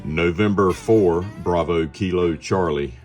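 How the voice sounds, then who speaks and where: American male, low voice, casual ham radio vlogger with relaxed everyday delivery. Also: unhurried, music in the background. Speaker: amateur radio operator hosting a vlog. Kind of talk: casual narration and radio contact talk.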